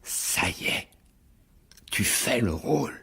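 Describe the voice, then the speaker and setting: An actor playing a wily, self-important stage actor in a French radio drama, with hesitant, theatrical delivery. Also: male voice, medium pitch, expressive, takes it slowly, vocal fry, bright, forceful tone, husky, heavily acted. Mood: tender, triumphant.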